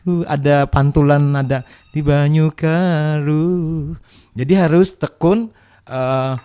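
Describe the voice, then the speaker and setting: Male guest singer from South Kalimantan on radio, slow patient explainer, slightly raised pitch. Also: fairly even intonation, warm tone, smooth. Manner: slow, patient explainer who sings examples. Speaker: guest singer and music expert. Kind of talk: radio talk show interview. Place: radio studio.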